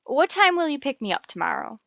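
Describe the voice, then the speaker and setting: An American actress playing a wry, sardonic schoolteacher with dry delivery in a vintage radio sitcom. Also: female voice, sits high, big pitch swings, fairly quick, gravelly, sharp tone, no echo, heavily acted. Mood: coy, wry.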